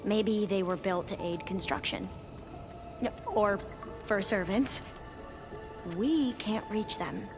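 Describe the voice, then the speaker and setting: An actress playing a deferential scholar in a video game, speaking in a hesitant, measured, polite way. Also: female voice, mid-range voice. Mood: cautious, tactful. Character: careful, deferential scholar of a devout clan. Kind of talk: video game cutscene acting. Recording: loud music behind the voice.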